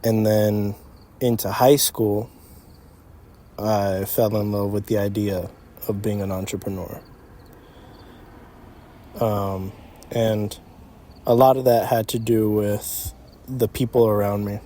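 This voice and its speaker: A man's voice: fairly low pitch, slow, long pauses. A man in his early twenties talking reflectively and casually, feeling out his phrases as he goes, in an unscripted conversation. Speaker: young entrepreneur. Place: outdoors in an empty parking lot. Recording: steady outdoor insect noise. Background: crickets and night insects.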